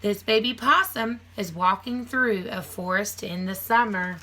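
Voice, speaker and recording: A woman with a bright, crisp-edged voice at a medium pitch reads aloud like a primary school teacher. She phrases things simply for young children and slips between book sentences and casual explanation. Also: a little slow. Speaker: teacher reading aloud to a class. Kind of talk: children's nonfiction read-aloud with casual asides.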